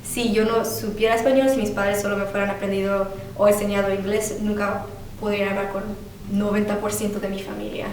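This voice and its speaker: Even-toned woman, far and echoey. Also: mid-range voice, a little fast, a little hiss or hum. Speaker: student. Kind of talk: testimonial interview.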